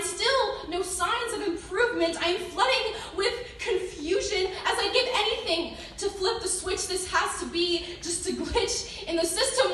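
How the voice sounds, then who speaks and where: A woman reciting rhyming slam poetry with dramatic pauses and an emotionally intense delivery. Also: very high-pitched, a bit bright, recorded from a distance. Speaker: slam poet. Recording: echoey room from afar, noisy.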